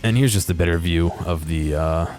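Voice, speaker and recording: A man with a low voice talks through game footage in a casual, unscripted way. He is hesitant and understated, rambling a little as he goes. Music plays behind his commentary. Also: a little fast, darkish tone. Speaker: video creator commentating on game exploration.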